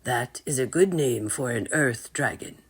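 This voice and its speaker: A woman voicing an old male wizard, a calm and measured mentor, in a children's storybook reading. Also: very deep voice, breathy edge, exaggerated voice. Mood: calm, instructive.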